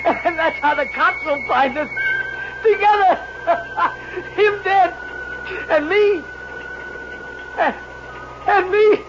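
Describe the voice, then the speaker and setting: A young American drifter cracks with terror and madness in an old radio horror play. The words drag out very slowly in a shrill, breaking voice. The recording is dull and clearly noisy. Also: male voice, wildly swooping pitch, heavily acted. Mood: hysterical despair. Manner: halting speech broken by hysterical laughter and sobs.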